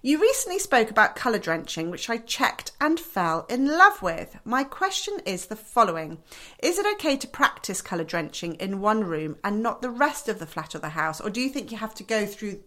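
Bright-voiced woman co-host. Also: medium pitch, moderate pace. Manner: lively, laughs easily, chips in. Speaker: podcast co-host. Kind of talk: casual chat.

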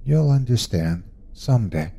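A man's voice: medium pitch, animated, unhurried, vocal fry, mellow, breathy edge, voice pushed up, full character voice. A man voicing a fierce, protective mother who curses at her husband and speaks tenderly to her sons. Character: fierce protective mother, middle-aged woman.

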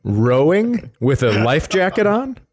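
A man's voice: on the high side, sing-song, takes it slowly, a little mellow. A man co-hosting a comedy podcast, riffing casually with mock quotes and comic scenarios. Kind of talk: casual joking banter.

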